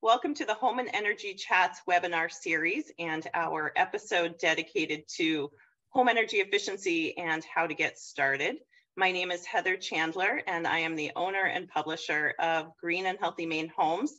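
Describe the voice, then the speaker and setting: A woman's voice: on the low side, moderate pace, bright, forceful tone. A woman with a cold, losing her voice, opening a webinar as its moderator over a video call. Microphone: video call webcam. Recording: dull lo-fi webinar audio.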